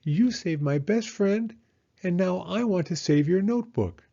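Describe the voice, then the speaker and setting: Brave little female hedgehog, man acting: high, earnest, determined, loyal storybook heroine. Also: expressive, unhurried, gravelly, mellow, muffled recording, exaggerated voice.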